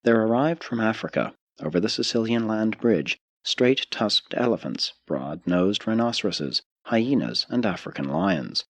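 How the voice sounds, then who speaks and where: A man reading a scripted audiobook narration in a measured, formal way with clearly phrased sentences. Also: lowish voice, lively intonation, no echo. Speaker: audiobook reader.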